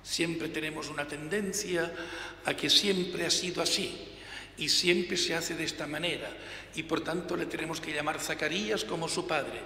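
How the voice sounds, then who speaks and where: Older priest preaching in an echoing church. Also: male voice, a little high, steady tone, moderate pace, gravelly. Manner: emphatic, gestures with hands, varies loudness for emphasis. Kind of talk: reading the Gospel and preaching a homily. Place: large church, at a lectern. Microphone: gooseneck lectern mic. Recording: reverberant church hall, faint room noise.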